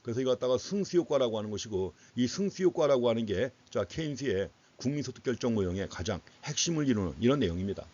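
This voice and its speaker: A man's voice: mid-range voice, expressive, fast talker, few pauses, darkish tone. A man giving an explanatory economics lecture in a didactic way, putting questions to students and repeating key phrases for emphasis. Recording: dull, lo-fi lecture audio.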